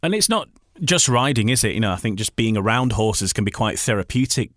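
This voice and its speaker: A man's voice: fairly low pitch, big pitch swings, brisk, a bit bright, no room sound, studio quality. A man hosting a radio interview in a conversational, chatty way, prompting his guest with questions.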